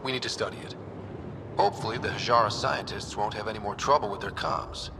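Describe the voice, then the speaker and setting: A man's voice: lowish voice, fast, in a large hall, close to an everyday voice. An actor playing a young male explorer hero who talks in a direct, decisive way. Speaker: player character. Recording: game audio with loud background noise.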